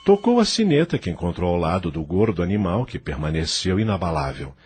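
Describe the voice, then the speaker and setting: Male narrator, Brazilian accent, low register, animated dramatic delivery for scripted audio-novel storytelling. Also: a little fast, husky. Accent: Brazilian Portuguese. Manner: theatrical, literary storytelling.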